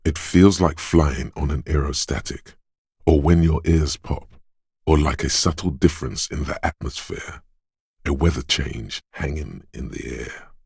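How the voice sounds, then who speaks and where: A man narrating a video game as a grave inner voice of the senses, measured, deliberate and ominous. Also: very deep voice, creaky, a little mellow, slightly rough, no echo, studio quality, heavily acted. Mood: grave, hushed. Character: solemn male inner voice of heightened senses, ominous and watchful. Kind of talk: atmospheric second-person scripted narration.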